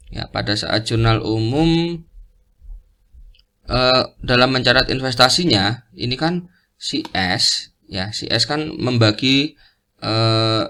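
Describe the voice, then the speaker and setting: Low, creaky-voiced Indonesian man teaching. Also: fairly bright tone. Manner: halting, repetitive, step-by-step explaining. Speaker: accounting lecturer. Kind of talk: informal explanatory lecture, worked example.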